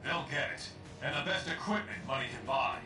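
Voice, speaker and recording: Man acting a smug exasperated armored hero, animated pitch, video game threats, echoey, music behind. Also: brisk, far from the mic, lightly acted. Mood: smug, threatening. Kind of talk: video game villain-style confrontation acting.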